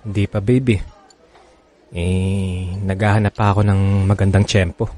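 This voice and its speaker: Evasive, placating boyfriend. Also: male voice, sits low, fairly even intonation, a little slow, a little mellow. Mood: evasive, hesitant. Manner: hesitant, placating. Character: evasive, placating married man soothing his girlfriend. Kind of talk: radio drama acting. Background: background music.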